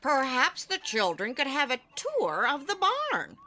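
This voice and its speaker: Sardonic schoolteacher with swooping, highish voice. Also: female voice, wildly swooping pitch, unhurried, full character voice. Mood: weary, exasperated. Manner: dry, mock-polite, theatrical delivery. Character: sardonic, weary female schoolteacher, theatrically exasperated. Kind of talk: animated cartoon acting. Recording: music behind the voice.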